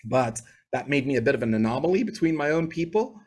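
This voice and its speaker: A man's voice: medium pitch, expressive, fast talker, darkish tone. A man in his forties from Bahrain, a native English speaker, telling stories in a chatty, winding way during a video-call interview. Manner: chatty, winding, tags points with "right?". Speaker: guest. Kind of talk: casual interview storytelling.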